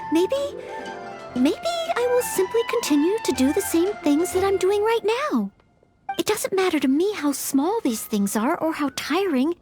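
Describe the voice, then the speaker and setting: Anime game maid: young, humble, earnest knight hopeful; extremely high, sweet register, very expressive melody, gentle and polite. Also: female voice, moderate pace, over music. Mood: earnest, resolute. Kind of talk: anime-style video game voice acting.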